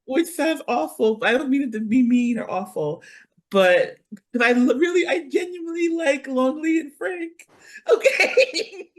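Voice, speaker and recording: Lively, blunt woman chatting. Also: high voice, measured pace. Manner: animated, blunt, swears freely. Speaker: podcast guest. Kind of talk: casual chat.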